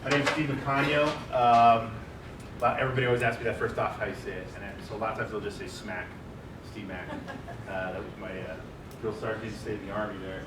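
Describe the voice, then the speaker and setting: Loud chatty man speaking on a panel. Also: fairly low pitch, steady tone, fairly quick. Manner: chatty, asks the audience questions, loud voice. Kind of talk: conference presentation. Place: large office meeting room. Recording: echoey room, distant mic, noisy.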